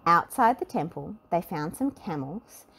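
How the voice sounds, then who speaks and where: Slow, low-pitched woman reading a storybook. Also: vocal fry, slightly rough, low-quality recording. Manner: expressive, deliberate. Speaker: storybook reader. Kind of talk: scripted children's storybook narration.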